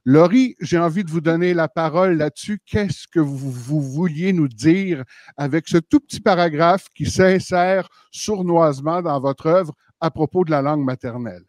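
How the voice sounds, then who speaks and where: Guy hosting a book panel, kinda high voice, super dry close sound. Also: darkish tone, dry recording. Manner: measured, formal questions with some restarts. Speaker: panel moderator. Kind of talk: moderating a literary panel.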